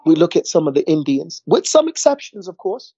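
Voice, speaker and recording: A man's voice: fairly high pitch, big pitch swings, fairly quick, warm tone, slightly rough, no room sound, dull audio. A middle-aged man, talking casually in a rambling way, repeating words and saying "you know" a lot. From New York. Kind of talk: casual conversation.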